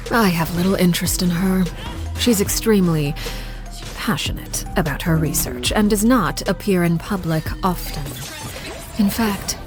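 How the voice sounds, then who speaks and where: A woman voicing a cold, composed, commanding woman in a video game, speaking in a measured, formal, aloof and quietly menacing way. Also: fairly low pitch, close to an everyday voice. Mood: cool, disdainful. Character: cold, composed, commanding woman, a Harbinger, judging her colleagues. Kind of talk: scripted video game character monologue.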